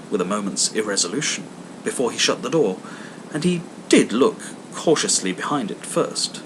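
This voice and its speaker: A man reading a classic ghost story aloud in an expressive, dramatic manner with emphatic stresses. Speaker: audiobook reader. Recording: clear background noise, low rumble and hum.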